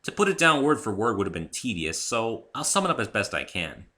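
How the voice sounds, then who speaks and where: A man narrating a story in the first person as a dramatic reading, expressive and deliberate. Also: lowish voice.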